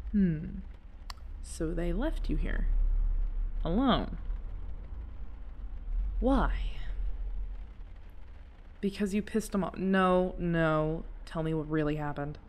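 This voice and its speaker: A woman playing a protective, exasperated friend in an intimate audio roleplay, speaking in a natural, halting, emphatic way. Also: lowish voice, darkish tone. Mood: concerned, probing. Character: protective, exasperated friend secretly in love, driving someone home.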